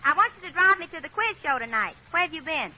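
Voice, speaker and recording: A woman's voice: very high-pitched, sing-song, quick pace, bright, forceful tone, exaggerated voice. An actress playing a nervous, exasperated woman feeding straight lines in 1940s radio comedy. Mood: excited, nervous. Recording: dull, band-limited 1940s radio recording.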